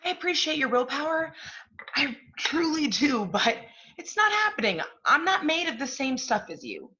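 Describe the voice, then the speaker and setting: Brash, bright-voiced cynical agent played by a woman. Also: high-pitched, very animated, moderate pace, highs cut off, heavily acted. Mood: firm, defensive. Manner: sardonic, blunt, profane. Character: Hope, brash hard-drinking cynical literary agent woman. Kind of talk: stage play acting.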